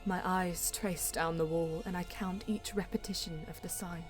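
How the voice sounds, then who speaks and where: A woman playing a solemn, proud female Eldar bonesinger in a sci-fi audio drama, narrating in a measured, hushed, portentous way. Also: on the low side, fairly even intonation, close to an everyday voice. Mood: solemn awe. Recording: music under the voice. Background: music.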